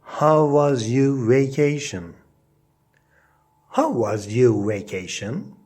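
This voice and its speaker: A man reading short English phrases aloud as a language teacher, saying them deliberately as separate, repeated phrases. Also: mid-range voice, sing-song, a little mellow, slightly rough.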